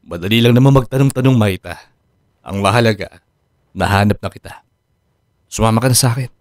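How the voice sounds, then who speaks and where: A man's voice: on the low side, a little fast, no room sound, clean studio sound, natural voice. A man acting in a radio drama as a desperate husband, earnestly and insistently imploring his wife to come back. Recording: music bed behind the voice.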